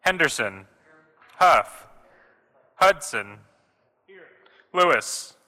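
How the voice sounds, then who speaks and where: A man working as a senate clerk, calling out formally and deliberately in isolated phrases, as in a roll call. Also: fairly high pitch, sing-song, sharp tone. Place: large legislative chamber. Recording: echoey hall with long reverb.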